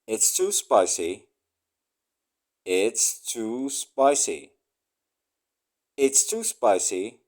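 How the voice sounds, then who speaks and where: A man reading short English phrases aloud for learners, saying each one three times slowly and clearly. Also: lowish voice, sing-song, darkish tone.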